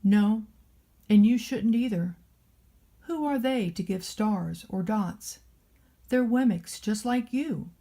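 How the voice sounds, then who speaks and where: Woman as a slow, gentle bearded craftsman. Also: mid-range voice. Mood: reassuring. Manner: slow, thoughtful, tender. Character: large bearded craftsman, gentle, loving, reassuring maker.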